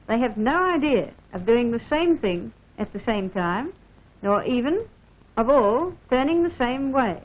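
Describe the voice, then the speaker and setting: A woman's voice: on the high side, lively intonation, unhurried. A woman officer from Australia reads scripted training film narration, formally instructing the listener directly. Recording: dull band-limited vintage film soundtrack.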